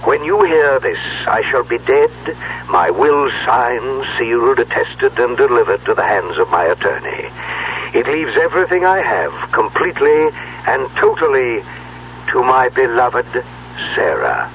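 A man playing a theatrical magician patriarch in a 1970s radio drama, speaking in grandiose, deliberate, formal phrases. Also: sing-song. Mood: grandiose, commanding. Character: dead magician patriarch, theatrical, speaking through a recorded will. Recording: dull noisy old radio recording, low hum.

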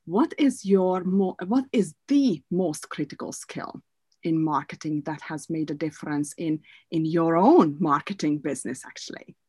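Husky-voiced woman hosting a podcast. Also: sits low, normal speed, a little mellow, no room sound, studio quality. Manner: thoughtful, questioning, with hesitations. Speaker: podcast host. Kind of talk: conversational podcast interview.

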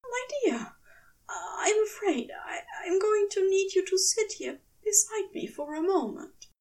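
A woman voicing an anxious, affectionate male angel, with a breathy, hushed, unsteady delivery and polite, halting, formal phrasing. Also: extremely high pitch, lively intonation, far from the mic, pitched above the performer's own voice, full character voice. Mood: shaken, apologetic.

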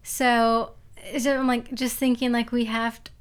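A woman's voice: on the high side, level tone, unhurried, clean tone. A young woman co-hosting a podcast, chatting loosely and casually with lots of fillers. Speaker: podcast co-host. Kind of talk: casual chat.

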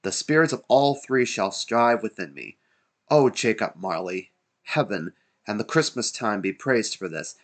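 Storybook role: giddy reformed old miser, male narrator acting, exclaiming and repeating himself, very animated pitch. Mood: fervent, grateful. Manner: breathless exclamations, rapid gleeful repetition.

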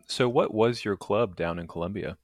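A man's voice: on the low side, moderate pace, dry recording, studio quality. A man hosting a podcast interview, upbeat and friendly, with frequent ums and easy laughter. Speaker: podcast host.

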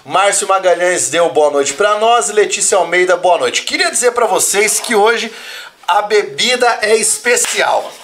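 A man's voice: high-pitched, unhurried, fairly bright tone, slight background noise. A man hosting a podcast in a friendly way, reading out viewers' greetings and chatting with his guest. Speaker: podcast host. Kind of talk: casual chat.

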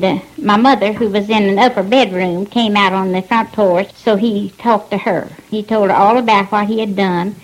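A woman from rural Virginia with a Southern Appalachian accent, plainly recalling what she witnessed in a halting, folksy way. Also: medium pitch, low-quality recording. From rural Virginia, United States. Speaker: eyewitness. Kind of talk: plain recounting of a personal memory.

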